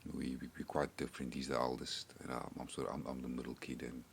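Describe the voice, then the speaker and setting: A man's voice: a bass voice, little pitch movement, quick pace, creaky, a little hiss or hum. A man from South Africa with a South African accent, chatting casually on a podcast in a rambling way, often repeating words. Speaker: podcast guest and co-host. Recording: remote call.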